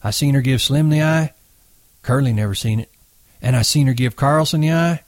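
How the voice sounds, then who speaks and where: A man's voice: mid-range voice, normal speed, no room sound, pitched above the performer's own voice, lightly acted. A man voicing a nervous, gossipy old ranch swamper with a sly, wheedling manner. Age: older.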